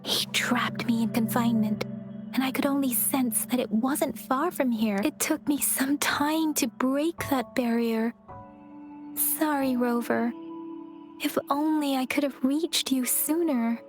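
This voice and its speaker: Calm earnest young ally, high voice, music playing under her. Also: measured pace. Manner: soft-spoken, earnest, composed. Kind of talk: game cutscene voice acting. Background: game music.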